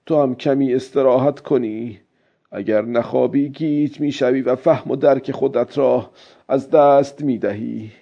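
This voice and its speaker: A man's voice: medium pitch, gravelly, breathy edge, muffled recording, voice pushed up. A man voicing a stubborn, weary old fisherman who coaxes himself emphatically in a dramatic audiobook. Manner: exclaiming, self-coaxing, emphatic. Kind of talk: dramatic audiobook character acting.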